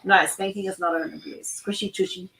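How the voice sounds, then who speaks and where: A woman's voice: mid-range voice, fairly even intonation, brisk, background noise. A woman hosting a casual livestream chat, telling stories in a loose, rambling way over a video call. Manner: chatty, storytelling, frequent "like" and restarts. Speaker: livestream host.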